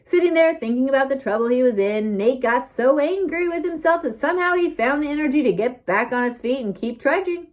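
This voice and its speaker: A woman reading a scripted story aloud as an audiobook narrator, with expressive emphasis and dramatic pauses. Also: sits high. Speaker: audiobook reader.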